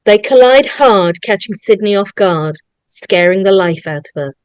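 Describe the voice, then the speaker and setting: Slow, deliberate woman narrator. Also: mid-range voice, animated, a little mellow, smooth, no echo. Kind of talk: scripted narration, reading screen directions.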